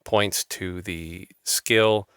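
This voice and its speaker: A man hosting an interview podcast, talking in a halting way with many restarts and fillers. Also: low voice, fairly even intonation, a bit bright, clean tone, dry recording, clean studio sound. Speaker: podcast host. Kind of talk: interview chat.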